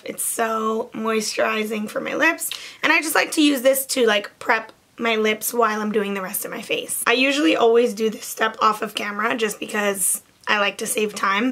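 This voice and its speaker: A young woman with a hoarse, raspy voice, chatting casually through a makeup tutorial with many "like" fillers. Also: fairly high pitch, bright, forceful tone. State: hoarse from lost voice. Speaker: beauty vlogger.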